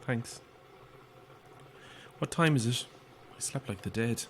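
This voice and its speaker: An actress playing an anxious, broke young Irish woman in an audio horror drama, talking informally and hesitantly in a husky voice. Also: extremely low pitch, takes it slowly, slight background noise. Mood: wary.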